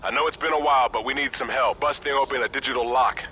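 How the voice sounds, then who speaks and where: A man playing a conscientious, steady private military soldier in a video game cutscene, talking in a casual, blunt military way. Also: medium pitch, fast, a little hiss or hum, close to an everyday voice. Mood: serious, steady. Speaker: soldier on a mission.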